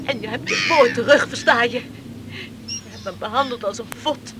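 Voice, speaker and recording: A woman's voice: on the high side, huge pitch swings, normal speed, background noise. An actress playing a scornful, emotional young woman, angry and wounded, in a radio play. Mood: scornful, furious.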